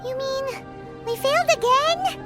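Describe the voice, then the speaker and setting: A woman voicing a tiny, childlike, excitable fairy companion with a squeaky voice in an anime-style video game. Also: a little slow. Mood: dejected. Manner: speaks of herself in the third person, excitable. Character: tiny childlike floating fairy companion, perky and easily indignant. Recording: music behind the voice.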